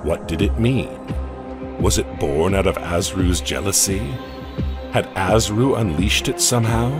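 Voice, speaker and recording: A man narrating a horror audio comic in a dramatic, measured storytelling manner. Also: deep voice. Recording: music bed underneath.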